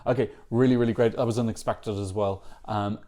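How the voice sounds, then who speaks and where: A man's voice: fairly low pitch, fairly even intonation, a little fast, dark tone. A man hosting a Eurovision reaction video, giving chatty, opinionated commentary and correcting himself as he goes. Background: faint music from the songs being reacted to.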